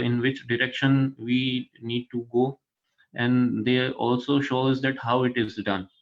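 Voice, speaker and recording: A man with a Gujarati Indian accent, a native Gujarati speaker, talks to students in a mid-pitched voice. His delivery is an informal, halting lecture with frequent little check-in tags. The sound is dull, lo-fi online call audio. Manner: halting, often checks with "right?" and "fine". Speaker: guest speaker, corporate HR manager. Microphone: online video call.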